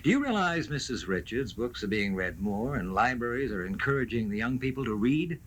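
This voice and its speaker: A man's voice: mid-range voice, sing-song, fairly quick, a bit bright, slight background noise. A man playing an earnest young writer and suitor in a 1970s amateur radio drama. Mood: friendly. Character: earnest young male television writer courting his sweetheart. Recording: 1970s radio, music behind.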